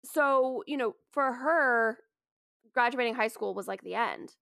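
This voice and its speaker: An American woman in her late thirties from Maine, chatting casually and reflectively in halting phrases. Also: a little high, vocal fry, sharp tone, clear, resonant tone, dry recording, clean studio sound. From Maine, USA. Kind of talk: casual chat.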